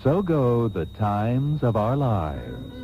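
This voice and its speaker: An actor playing a grandiose, unctuous radio soap host, narrating in a theatrical, drawn-out, mock-melodramatic way. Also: male voice, medium pitch, wildly swooping pitch, full character voice. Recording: tinny old radio tape, music behind.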